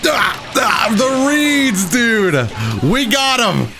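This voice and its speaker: A young adult man giving excitable, self-deprecating live commentary over his own gameplay as the host. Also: extremely high pitch, extremely slow, raised voice, over music. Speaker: gaming video host.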